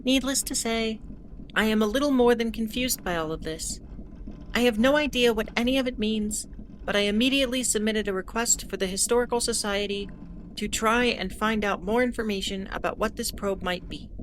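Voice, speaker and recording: A woman narrating as a starship captain in an audio drama, with measured, introspective storytelling. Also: fairly high pitch. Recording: noisy audio drama recording.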